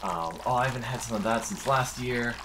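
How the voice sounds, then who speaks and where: A woman's voice: rumbling low, fairly quick, noisy background. A woman acting as game master, mixing casual banter with narration for a tabletop game.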